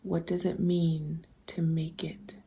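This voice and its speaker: A woman leading a guided visualization, speaking softly and breathily in gentle, deliberate questions that often slip toward a whisper. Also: low voice, little pitch movement. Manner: gentle, deliberate, posing open questions with pauses. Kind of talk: slow guided meditation, reflective questions.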